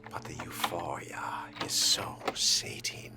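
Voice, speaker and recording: A man's voice: slow pace, heavy background noise, natural voice. A man playing a suave, predatory vampire in video game role-play, speaking formally and theatrically in a sardonic, often whispery murmur. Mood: sly musing. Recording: voice over loud game music.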